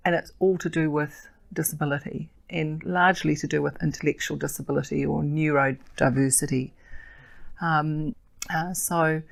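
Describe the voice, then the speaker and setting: Older kiwi woman, earnest advocate, lots of ums, low creaky voice. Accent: New Zealand. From New Zealand. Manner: earnest and measured, with frequent fillers. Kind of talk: interview answers.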